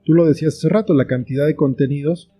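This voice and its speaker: A man's voice: a little high, fast, mellow, faint music behind. A middle-aged man with a Mexican accent, talking casually as the guest in an interview. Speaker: interview guest, writer and film teacher.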